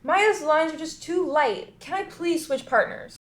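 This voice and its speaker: A man's voice: very high voice, unhurried, fairly bright tone, reverberant, pitched above the performer's own voice. A man reading the part of a frustrated schoolgirl who complains in a whiny voice.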